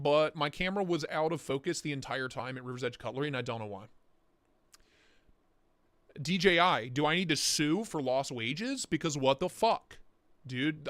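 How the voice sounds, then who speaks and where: A young man hosting a casual livestream, hoarse as he loses his voice, talking in an excitable, profane way and slipping into mock voices. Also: fairly high pitch, no room sound. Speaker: livestream host.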